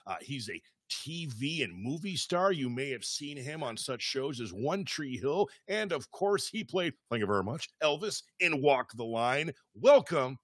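A man's voice: mid-range voice, big pitch swings, a little slow, hardly any pauses, breathy edge, dry recording. A middle-aged man hosting a podcast in a casual, chatty style. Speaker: podcast host.

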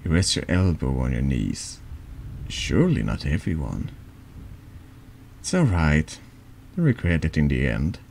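Very deep, gravelly man reading slowly. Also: big pitch swings, darkish tone, a little hiss or hum. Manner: halting, stumbles over words. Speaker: streamer reading a text adventure game aloud. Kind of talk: slow ASMR horror story reading.

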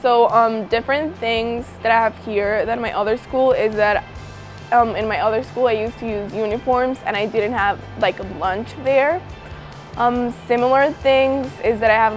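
A woman's voice: fairly high pitch, fairly even intonation, unhurried, soft background music. A teenage girl from Mexico talking casually in an interview, with frequent fillers. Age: teens. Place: outdoors on campus. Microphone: lapel.

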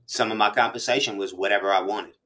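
A man's voice: lowish voice, steady tone, fast, sharp tone. A middle-aged man with an American accent hosts a casual hip hop interview, speaking in slang and thinking aloud as he goes. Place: small room full of memorabilia, indoors, on a video call. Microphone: video call.

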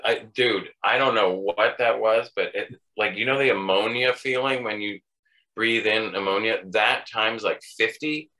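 A man's voice: fairly low pitch, unhurried, bright, forceful tone, low-quality recording. A man on a video call, rambling in an offhand, dryly funny way. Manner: rambling, offhand, dry humor. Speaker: band member. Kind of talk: casual chat.

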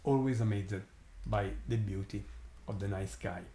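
Italian-accented male astronomy host, slow awed commentary, low voice, roomy echo. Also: mellow. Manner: slow, halting, many fillers, awed. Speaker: astronomer hosting a live eclipse stream. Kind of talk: live-stream commentary.

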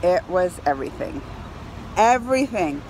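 A woman in her thirties chatting casually to camera as a movie reviewer, emphatic and excitable, repeating phrases for effect. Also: on the low side, moderate pace, poor audio. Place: outdoors on a high balcony over a city. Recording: outdoor city noise, passing cars. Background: urban traffic ambience.

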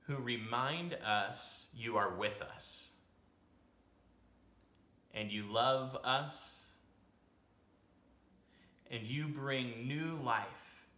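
Bright-voiced young American male pastor. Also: medium pitch, very slow, long pauses. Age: young adult. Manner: simple, reassuring phrasing for young listeners. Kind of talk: children's sermon to camera. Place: small home room, indoors. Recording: echoey room, faint background noise.

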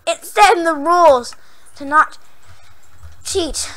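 A young woman playing a horror game, hoarse from losing her voice, jumpy and prone to yelps and squeals when startled, then chatty again. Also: very high-pitched, slow, a bit bright. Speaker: gaming channel host. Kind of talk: Minecraft horror map let's play.

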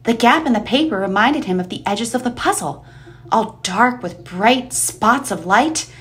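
A woman's voice: on the high side, lively intonation, unhurried, a bit bright. A woman reading dramatic scripted narration for an eerie audio play. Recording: music under the voice.

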